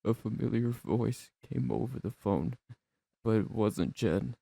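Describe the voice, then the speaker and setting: A man narrating a first-person horror story in a tense, dramatic manner with theatrical pauses. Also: medium pitch, creaky, mellow, breathy edge, no echo.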